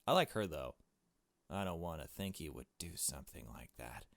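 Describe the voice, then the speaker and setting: A man's voice: a bass voice, animated, moderate pace, creaky, fairly bright tone, no echo, voice pushed down, exaggerated voice. A man voicing a hesitant, fair-minded young woman in a visual novel, tentative and questioning. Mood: reluctant. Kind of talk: visual novel dialogue read aloud.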